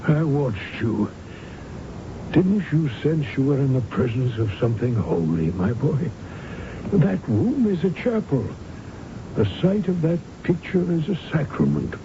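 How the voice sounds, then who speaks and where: A man's voice: fairly high pitch, sing-song, unhurried, noisy background. An actor playing a fervent, imperious old father devoted to Renaissance art, declaiming grandly in a radio drama. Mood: rapt. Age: older. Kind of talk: 1970s radio drama acting. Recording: muffled old radio broadcast, music behind.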